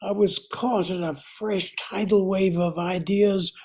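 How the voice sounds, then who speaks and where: A woman playing a haggard, desperate male writer in a radio drama, halting and pleading. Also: medium pitch, fairly even intonation, mellow. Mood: haunted confession.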